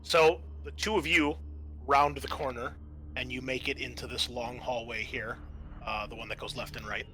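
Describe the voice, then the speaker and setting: A man acting as game master, improvising halting narration for a tabletop roleplaying game. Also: medium pitch, fairly even intonation.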